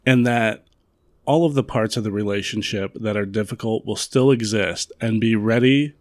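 A man co-hosting a podcast in casual chat, with a raspy, monotone, deadpan delivery. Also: fairly low pitch, moderate pace, no room sound, studio quality. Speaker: podcast co-host.

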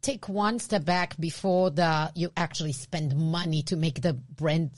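A woman with an Israeli accent, co-hosting a podcast and explaining branding in a casual, animated way. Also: deep voice, unhurried, no echo. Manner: animated, restarts often, laughs easily. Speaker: podcast co-host, branding expert.

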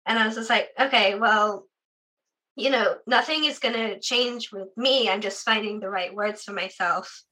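Bright, chatty young guest from the UK, voice a little sore, loose conversational delivery. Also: female voice, medium pitch, moderate pace, rough recording. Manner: chatty, frequent "like" fillers, laughs often. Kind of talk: casual interview conversation.

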